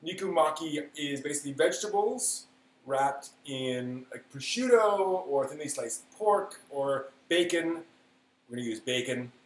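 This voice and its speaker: A man's voice: on the high side, animated, measured pace. A man hosting a casual, unscripted cooking live stream, thinking aloud in a hesitant way and trailing off as he works. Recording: roomy echo, background noise.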